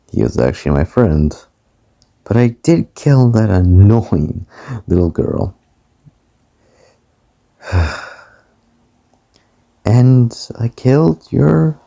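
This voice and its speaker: Someone acting a slow, gloating rich villain. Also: mid-range voice, vocal fry, mellow, full character voice. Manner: slow, gloating, taunting, chuckling between phrases. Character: smug murderous rich man, taunting villain. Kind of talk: amateur radio play acting.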